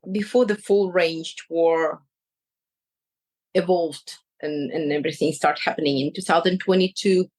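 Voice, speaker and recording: A woman with a Ukrainian accent, a coach speaking in an interview, choosing her words slowly with many pauses. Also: on the low side, darkish tone, poor audio. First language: Ukrainian or Russian. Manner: slow and searching, pausing between words. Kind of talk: reflective interview answers.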